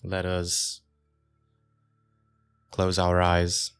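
Male meditation teacher, low register, very slow and calm delivery, short phrases with generous pauses, soft music underneath. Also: smooth. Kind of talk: guided meditation instruction.

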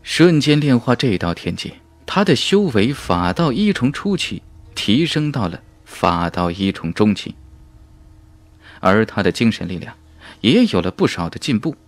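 A man's voice: on the low side, big pitch swings, unhurried, dry recording. A man narrating a fantasy novel in a dramatic, emphatic storytelling manner. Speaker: audiobook narrator. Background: background music.

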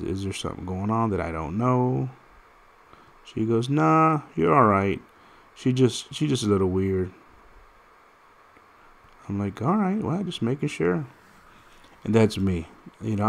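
A man's voice: lowish voice, gravelly. A man in his thirties chatting casually and rambling through stories while playing a mobile fighting game, direct and full of restarts. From United States. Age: 30s. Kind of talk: casual gameplay commentary.

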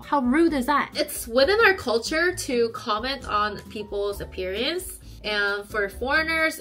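A woman, talking to the camera in a chatty, expressive way from a small bedroom. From South Korea. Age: 30s. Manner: chatty and expressive, acts out quoted people in a high voice. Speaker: YouTube vlogger. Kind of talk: casual talk to camera.

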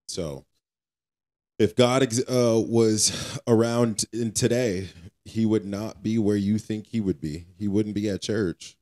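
A man with a deep, dark and relaxed voice, co-hosting a podcast. He talks informally and colloquially, with an earnest preacher's streak in casual conversation. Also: slow pace. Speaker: podcast co-host. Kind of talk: casual faith podcast chat.

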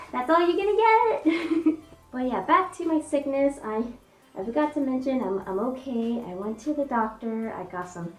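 A young woman vlogging to camera in a casual, bubbly way, with many fillers and restarts, her voice muffled by a fursuit head. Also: high-pitched. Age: young adult. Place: small room indoors. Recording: echoey room with faint music.

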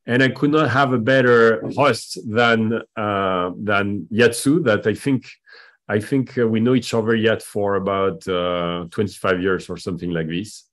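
A man's voice: on the low side, steady tone, a little slow, a bit bright. Hesitant man hosting a video call. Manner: hesitant, many fillers and restarts. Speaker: host of a community video call. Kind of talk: casual video-call introduction.

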